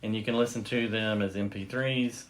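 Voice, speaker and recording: A man's voice: on the low side, fairly even intonation, moderate pace. A man chatting casually as the host of a podcast. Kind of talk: casual chat.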